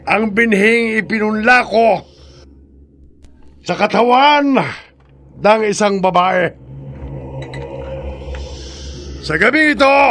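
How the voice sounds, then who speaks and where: An actor playing a zealous male cult leader who leads a dark ceremony, speaking in a solemn preaching manner, in a horror radio drama. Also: high voice, gravelly, heavily acted.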